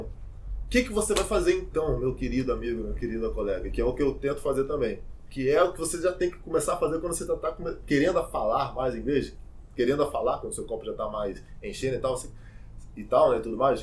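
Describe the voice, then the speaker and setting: A man's voice: medium pitch, quick pace. A young man, teaching English in a casual, informal vlog full of fillers and asides. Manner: lively, addresses viewers directly.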